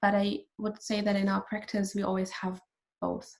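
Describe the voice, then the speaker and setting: A woman whose first language is German, speaking English as an artist guest, thoughtful and halting. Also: mid-range voice, little pitch movement, vocal fry. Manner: thoughtful, halting, soft laughs. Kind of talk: casual conversation.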